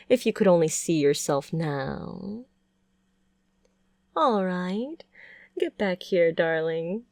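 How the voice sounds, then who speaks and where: Woman acting a slow, cooing, possessive witch. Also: medium pitch, no echo. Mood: cooing, adoring. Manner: cooing, coaxing, softly condescending. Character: doting, possessive yandere witch, sweetly sinister. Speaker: ASMR voice performer. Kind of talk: ASMR roleplay, intimate monologue to the listener.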